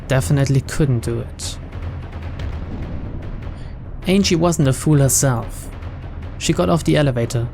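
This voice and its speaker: A man's voice: mid-range voice, creaky, a little mellow. A man playing through a visual novel, reading its narration aloud in English as a storyteller. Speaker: video game let's player.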